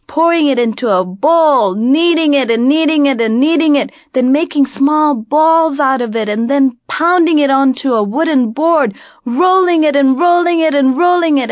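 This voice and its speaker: A woman with an American accent tells a folk tale as an expressive storyteller, in a deliberate, dramatic manner with phrases drawn out. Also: high voice, no echo. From grew up in Virginia, United States.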